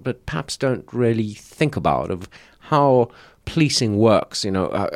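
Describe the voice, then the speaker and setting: A man co-hosting a podcast, explaining things casually in a halting, thoughtful way. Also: lowish voice. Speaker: podcast co-host. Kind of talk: casual panel chat.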